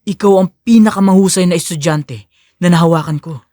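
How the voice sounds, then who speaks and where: A man's voice: sits high, a little fast, warm tone, dry recording, studio quality, lightly acted. A man playing an encouraging male taekwondo coach, instructive and earnest, in an audio drama. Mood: sincere praise. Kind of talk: audio drama character acting.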